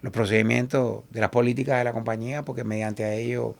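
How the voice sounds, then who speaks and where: A man from Cuba with a Cuban Spanish accent, a salsa singer talking as an interview guest and telling animated stories, often quoting other people. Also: medium pitch, quick pace, vocal fry. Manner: animated, quotes others in lively asides. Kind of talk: casual interview storytelling.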